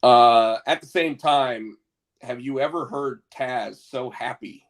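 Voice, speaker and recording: A man's voice: medium pitch, slow. A man co-hosting a casual talk show, stuffed up with a cold, talking in rambling bursts with lots of stammered restarts. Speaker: podcast co-host.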